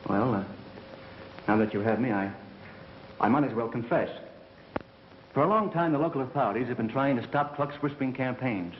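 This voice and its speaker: An actor with an American accent playing a brisk, candid undercover federal man in a 1930s film. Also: mid-range voice, expressive, natural voice. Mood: candid, explanatory. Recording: muffled, echoey, hissy 1930s film soundtrack.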